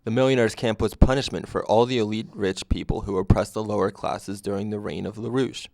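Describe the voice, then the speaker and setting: Man, scripted first-person narration, deadpan delivery, creaky fry, dry close sound. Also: fairly low pitch, a little fast, no echo.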